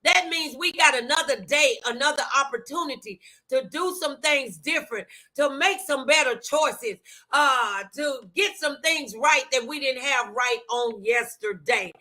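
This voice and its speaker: A woman's voice: high-pitched, a little slow, bright, forceful tone. A middle-aged woman talk show host chatting motivationally, emphatic and preacherly, repeating phrases to drive them home.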